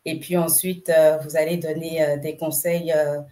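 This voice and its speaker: A woman hosting a podcast interview, with a notably low voice. She speaks hesitantly, filling her sentences with fillers and repeating words as she sets up her questions. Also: level tone, normal speed, mellow. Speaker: podcast host.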